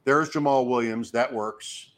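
Hoarse man thinking aloud. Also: medium pitch, steady tone, a little slow, a bit bright. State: hoarse from talking. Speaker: fantasy football show host giving draft advice. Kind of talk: casual talk, live draft commentary.

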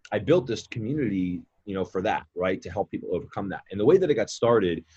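Deep husky man in his twenties. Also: animated, quick pace, darkish tone, dull audio. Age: 20s. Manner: rambling, repeats words, many fillers. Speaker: guest, mindset coach. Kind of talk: casual podcast conversation.

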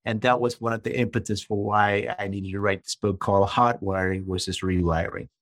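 A man's voice: fairly low pitch, level tone, brisk, no room sound, clean studio sound. A man in his fifties, from Malaysia, explaining earnestly as a guest in a podcast interview. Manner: earnest, explanatory, uses rhetorical questions. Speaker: guest author and coach.

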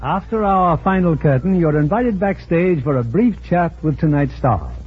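A man's voice: a little high, animated, normal speed, husky. A man hosting and narrating a 1940s radio show in a formal, scripted style. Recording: muffled 1940s radio broadcast recording.

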